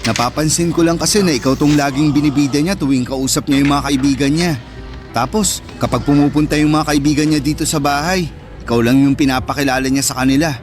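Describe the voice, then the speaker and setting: A man's voice: fairly high pitch, fairly even intonation, brisk, lightly acted. A man with a Filipino accent playing a sensitive, neglected older brother in an earnest, emotive radio drama style. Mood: hurt, resentful. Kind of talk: Tagalog radio drama acting. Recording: music under the voice.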